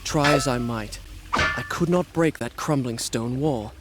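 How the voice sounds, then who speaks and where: A man's voice: medium pitch, unhurried, lightly acted. An actor playing a proud, sardonic young Persian prince, telling his adventure in eloquent, formal, dramatic game dialogue. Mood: solemn storytelling. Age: young adult. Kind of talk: dramatic video game voice acting and storytelling. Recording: music behind.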